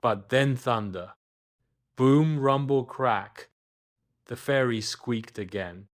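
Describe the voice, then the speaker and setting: A man's voice: mid-range voice, takes it slowly. A man reading a scripted children's bedtime story as its narrator.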